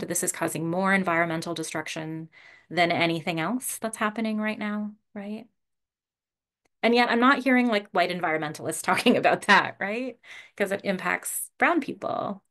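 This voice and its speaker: A woman meditation teacher giving a relaxed, casual dharma talk over a video call, laughing often and using lots of fillers. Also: mid-range voice, normal speed. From Canada.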